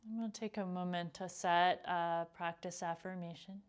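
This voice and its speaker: A woman leading a guided meditation as a teacher, speaking in a calm, gentle, unhurried way with pauses. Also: lowish voice, clear, resonant tone. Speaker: yoga nidra meditation teacher.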